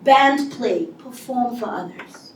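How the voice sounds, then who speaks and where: A woman delivers a motivational speech, acting out quoted lines as she tells her story. Her voice sits fairly high and comes from some distance off the mic. The big hall around her echoes, and a faint hiss runs underneath. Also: takes it slowly. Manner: animated storytelling with acted-out quotes. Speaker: speaker. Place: large hall before an audience.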